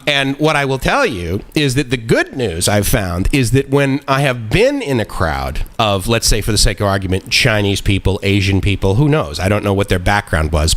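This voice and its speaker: A man's voice: lowish voice, a bit bright. A man in his forties hosting a live stream, talking in a loose, rambling, self-deprecating way with lots of fillers and chuckles. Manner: loose, meandering with many fillers. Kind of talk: casual live-stream monologue.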